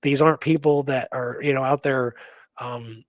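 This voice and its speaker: A man with a mid-pitched voice talks history as a podcast co-host. He is informal and emphatic, arguing his points in a conversational way, and the sound is dry and close. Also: fairly even intonation, normal speed, no room sound. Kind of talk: casual history discussion.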